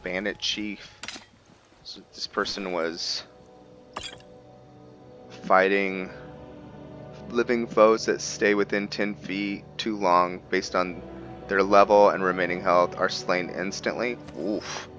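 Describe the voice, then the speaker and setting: A man's voice: sits low, fairly even intonation, slow pace, pauses often, a bit bright, highs cut off, faint music behind. A man giving casual roleplay game commentary, rambling and thinking aloud to viewers as he plays. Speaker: gaming video host.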